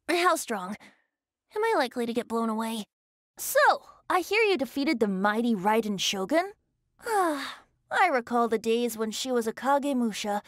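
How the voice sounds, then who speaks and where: A carefree young bard god with a high, boyish voice that leaps about in pitch, as a woman plays him. He teases and jokes in a sing-song way. The game recording is close and dry. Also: a bit bright, no room sound. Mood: teasing. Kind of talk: game character voice line.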